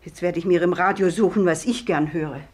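A woman's voice: fairly low pitch, fairly quick, a little mellow, natural voice. An actress playing a fussy, imperious guesthouse lady with a querulous manner in a 1950s radio mystery. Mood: grumbling. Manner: querulous, muttering complaints. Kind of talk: 1950s German-language radio mystery acting. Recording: 1950s radio recording with music.

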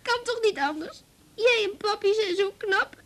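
An insecure little schoolgirl in a radio drama. The voice is extremely high and childlike, with a hesitant, timid delivery that sounds easily upset. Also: moderate pace. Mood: tearful, unsure. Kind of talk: Dutch radio play acting.

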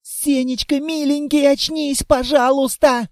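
A man voicing a chatty little girl, a child of darkness, who pleads and chatters without pause. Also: extremely high pitch, fairly even intonation, a little mellow, dry recording, clean studio sound, voice pushed up. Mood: frightened pleading.

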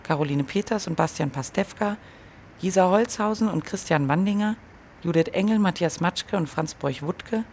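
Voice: medium pitch, normal speed, darkish tone, slight background noise. An announcer reading scripted radio drama credits as a plain list of title and names.

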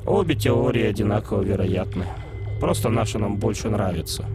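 A man's voice: lowish voice, lively intonation, a little fast, over loud music, natural voice. A man voicing a proud, suspicious male sphinx who lectures in a haughty, grumbling way. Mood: lecturing. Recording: loud background noise.

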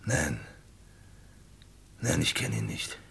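An actor playing a weak, evasive injured hospital patient in a German radio crime play, hesitant and stammering, with a breathy, gravelly voice. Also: male voice, sing-song, takes it slowly, fairly bright tone, exaggerated voice. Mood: agitated denial. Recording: vintage radio play with faint rumbling noise.

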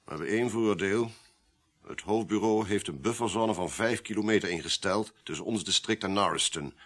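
Deep-voiced, gruff police inspector. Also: male voice, a little fast, fairly bright tone. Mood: grim, confidential. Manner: blunt, curt, matter-of-fact. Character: gruff, impatient male police inspector, grim and cynical. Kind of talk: Dutch radio drama acting.

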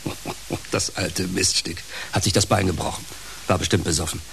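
A man's voice: low voice, steady tone, moderate pace, creaky, background noise. A male actor playing a grumpy, self-centred uncle in a German radio play, grumbling with impatient sarcasm. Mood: sour grumbling.

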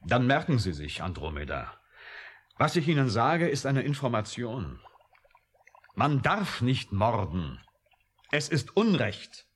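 An actor playing an embittered, sardonic computer scientist with a strong moral streak in a German radio drama, speaking emphatically. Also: male voice, mid-range voice, very animated, slow, pauses often, a bit bright, breathy edge, full character voice. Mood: stern, urgent. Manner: emphatic, volatile, pointed.

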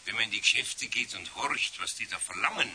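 A man's voice: on the low side, animated, a little fast, bright, forceful tone. A man playing a kindly, patient older master craftsman with a Bavarian accent in a children's radio play. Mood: calm. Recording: faint hiss of 1970s radio recording.

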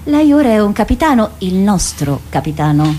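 An animated, theatrical female diplomat acted by a woman in a radio drama. Her pitch moves widely as she dismisses and persuades, scornful with anxiety underneath. Faint noise sits behind the voice. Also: normal speed, natural voice. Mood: evasive, urgent.

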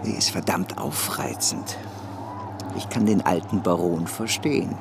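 An actor playing a brisk, self-assured, scheming middle-aged financier in a radio play. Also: male voice, low voice, vocal fry, very noisy. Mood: confident, knowing.

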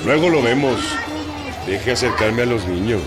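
A man's voice: medium pitch, expressive, normal speed, a loud crowd, lightly acted. An actor playing a brash, commanding male revolutionary general with a Mexican accent in a radio drama. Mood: gruff, offhand. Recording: loud music behind, outdoor sound effects.

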